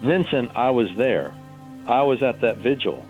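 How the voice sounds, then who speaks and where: An actor playing a shaken eyewitness calling a radio show in an audio drama, insistent and halting, through a telephone filter. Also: male voice, medium pitch, big pitch swings, faint music behind. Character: male radio caller, shaken eyewitness to a shooting. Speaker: caller. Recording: thin, band-limited phone line.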